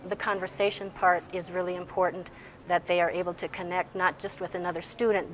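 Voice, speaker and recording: A woman's voice: medium pitch, fairly bright tone. A woman teacher giving plain-spoken, informative answers in an interview, explaining how things work. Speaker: English language teacher. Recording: faint background noise.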